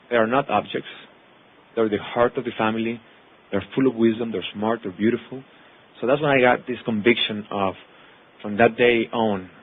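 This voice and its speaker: A man's voice: on the low side, slightly rough. A man from Mexico in his thirties, Spanish native, with a Mexican accent, giving an earnest personal testimony to an audience. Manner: earnest, lists words in long runs. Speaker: actor and producer speaking to an audience. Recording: tinny phone-like sound.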